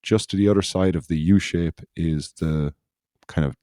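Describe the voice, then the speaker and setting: A man with a deep, mellow voice, hosting a podcast in easy, casual chat. He wanders through his story and circles back on himself. The sound is dry and close, with no room around it. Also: normal speed, no room sound. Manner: rambling, loose storytelling that circles back on itself. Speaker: podcast host.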